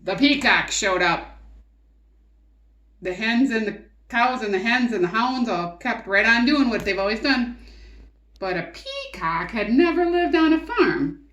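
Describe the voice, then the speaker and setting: Unhurried woman reading to children, roomy echo. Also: on the high side, dull audio. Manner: expressive, coaxing, asks the listener questions. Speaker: read-aloud teacher and storyteller. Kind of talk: interactive children's picture book read-aloud.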